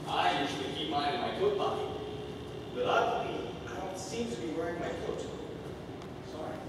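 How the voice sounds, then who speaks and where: A sardonic male stranger in a stage play, acted by a teenage boy. His high voice swings widely with theatrical sarcasm. It is heard from a distance in a noisy, reverberant hall. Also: expressive, normal speed, lightly acted. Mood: wry. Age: teens. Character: sardonic wisecracking male stranger on a subway platform. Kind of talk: high school one-act stage acting. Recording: echoey noisy auditorium from far off.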